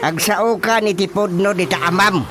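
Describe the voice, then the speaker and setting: A man's voice: high-pitched, steady tone, moderate pace, close to an everyday voice. An actor playing a flustered village chairman with a Filipino Ilocano accent and a stammering manner, in a radio drama comedy. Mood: agitated scolding. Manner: stammering, repeats syllables.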